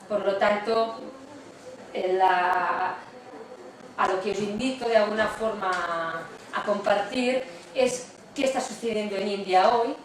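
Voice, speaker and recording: A woman lecturer, a native Catalan speaker with a Catalan accent, giving a conversational, explanatory public talk punctuated by tag questions. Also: medium pitch. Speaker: university economics lecturer, guest speaker. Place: lecture room with strong echo. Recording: very echoey room, distant mic, noisy.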